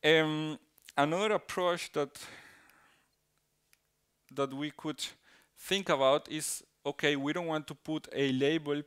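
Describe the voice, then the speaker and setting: Smooth-voiced Colombian man giving a talk. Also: a little high, steady tone, fairly bright tone. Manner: halting, many restarts and fillers, tag "right?". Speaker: conference speaker. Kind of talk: technical conference talk.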